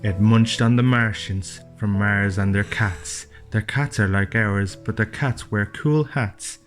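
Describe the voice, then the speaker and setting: A man's voice: lowish voice, takes it slowly. A man reading a rhyming storybook aloud as a father would to his child, with playful, chatty asides. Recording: music playing behind the voice. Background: plucked guitar music.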